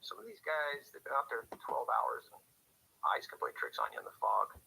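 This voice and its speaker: Man playing a bright, husky small-town police officer. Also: medium pitch, huge pitch swings, fairly quick, heavily acted, poor audio. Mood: wry, businesslike. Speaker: police officer on radio dispatch. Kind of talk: radio drama, scripted log narration and calls.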